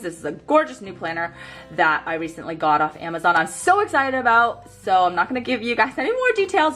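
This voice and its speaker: A woman's voice: mid-range voice, fairly bright tone. A woman in her thirties chatting casually about her planner, sniffly from a cold and rambling with lots of fillers. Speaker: planner vlogger. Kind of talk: casual chat while planning. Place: home desk by a bright window.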